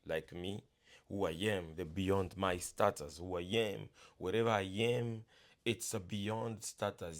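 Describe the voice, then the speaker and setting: A man speaking English as a second language, giving an earnest, halting motivational talk full of restarts. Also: low voice. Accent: non-native English. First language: not English. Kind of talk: casual motivational monologue.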